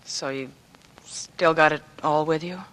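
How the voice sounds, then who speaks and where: A woman's voice: low-pitched, steady tone, a little slow, a bit bright, low-quality recording. A wistful young woman in a vintage radio drama. She is world-weary and homesick, speaking with tender, earnest urgency and a pleading edge. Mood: hushed, concerned. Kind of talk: 1940s radio acting.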